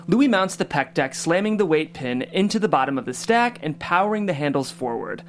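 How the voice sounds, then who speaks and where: A reader narrating the stage directions of a comedy script table read, in an even, wry manner. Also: medium pitch, a little fast.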